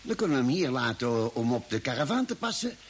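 An actor playing a cautious, caring expedition doctor in an old radio play. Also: male voice, mid-range voice, fast talker, close to an everyday voice. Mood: cautious, concerned. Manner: calm, reassuring, cautioning. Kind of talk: mid-20th-century Dutch radio play acting. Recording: hissy old radio drama recording.

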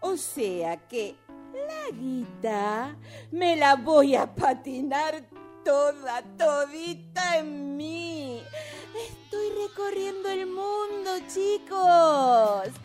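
Sly theatrical Argentine mother, acted by a woman, high and slow. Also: big pitch swings, darkish tone, soft background music, exaggerated voice. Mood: gleeful mocking. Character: sly, gleeful older mother reading her mocking farewell letter. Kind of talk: radio drama acting.

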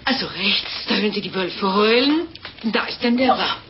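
An actress playing an excitable lady traveller in a German radio play, theatrical and exclamatory. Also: medium pitch, moderate pace, natural voice. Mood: indignant alarm. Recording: dull, noisy radio recording amid crowd.

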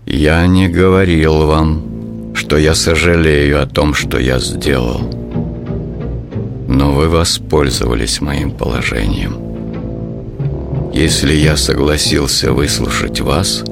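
A male actor playing a proud, bitter revolutionary assassin in a historical audio play, grave and deliberate in delivery. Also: full character voice. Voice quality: very deep, gravelly. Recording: music bed under the voice.

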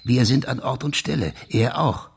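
Very husky, gravelly police official. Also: male voice, mid-range voice, normal speed. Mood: grave, deducing. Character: police official laying out his grim theory of a crime. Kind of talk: German crime radio drama acting.

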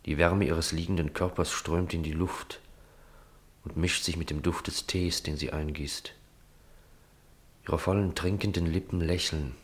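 Deep voiced guy narrating a radio drama, literary reading. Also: steady tone, unhurried, pauses often.

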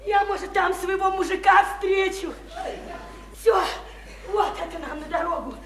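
A woman's voice: very high-pitched, a little slow. An actress in a radio play voicing an overwrought provincial woman desperate to escape, gushing and repeating herself in colloquial speech. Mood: giddy excited. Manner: gushing, repetitive, colloquial, hysterically elated. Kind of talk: theatrical Russian radio play acting. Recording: roomy, echoing stage-like radio play recording.

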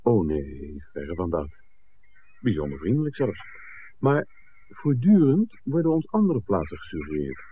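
A man's voice: low voice, big pitch swings, a little slow, narrow, tinny audio. A man playing an inquisitive, suspicious film maker in an old radio drama, articulate, probing and wry. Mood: politely probing. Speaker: radio play actor. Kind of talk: 1960s radio drama acting. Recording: thin, noisy old radio recording.

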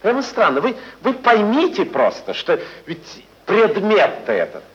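Casting: courteous, flustered gentleman bargainer, lightly high voice, very animated, stage-trained radio style. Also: measured pace, exaggerated voice. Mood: exasperated. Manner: hesitant, pleading, mannered courtesy. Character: polite, flustered gentleman buyer haggling over souls. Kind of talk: old Soviet stage-style radio acting. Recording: dull vintage archive radio recording.

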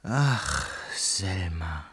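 An actor in a radio play as a lonely, distraught male lodger, talking haltingly and broodingly into a cassette recorder. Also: sits low, sing-song, fairly bright tone, breathy edge, exaggerated voice. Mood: haunted, brooding. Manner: halting, brooding, swinging from murmurs to anguished cries. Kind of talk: dramatic radio play monologue.

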